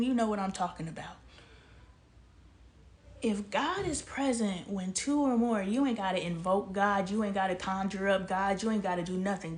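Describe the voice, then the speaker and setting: A woman, from Texas, talking straight to her audience in a passionate, searching monologue. Her voice sits at an ordinary middle pitch. She leans on rhetorical questions and sometimes sinks to a near-whisper. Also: darkish tone. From El Paso, Texas. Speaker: vlogger. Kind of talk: casual spiritual commentary monologue.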